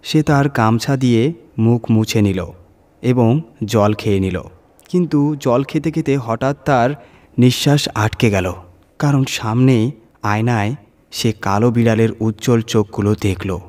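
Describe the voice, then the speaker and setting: Male narrator for horror audio stories, mid-range pitch, scripted storytelling delivery. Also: measured pace, darkish tone.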